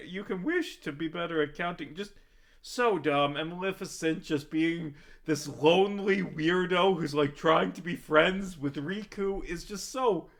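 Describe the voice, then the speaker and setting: A man's voice: a little high, a bit bright. An American man chatting casually over gameplay in a rambling, comically exasperated way, swearing now and then. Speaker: streamer recording a gaming vlog.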